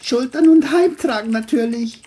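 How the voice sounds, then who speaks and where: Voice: medium pitch, normal speed, warm tone, voice pushed up, natural voice. A narrator voicing an irritable, foul-mouthed young man in an audio crime drama, whiny and exasperated. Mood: flippant, grumbling.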